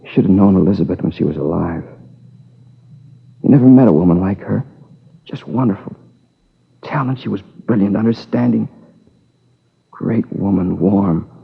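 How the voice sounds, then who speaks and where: An actor playing a tormented man in his twenties, anguished, defensive and halting, in a 1950s radio crime drama. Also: sits low, vocal fry, breathy edge, heavily acted. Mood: mournful, evasive. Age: 20s. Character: tormented young man confessing to killing his lover. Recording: muffled old 1950s radio recording.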